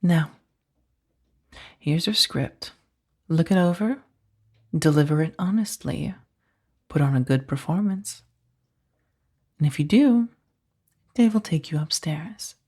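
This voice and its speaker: A woman playing a powerful, coolly amused mafia boss in a soft-spoken ASMR roleplay, unhurried and condescending, with a breathy, husky voice. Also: sits low, expressive, heavily acted. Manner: unhurried, velvety menace with condescending endearments.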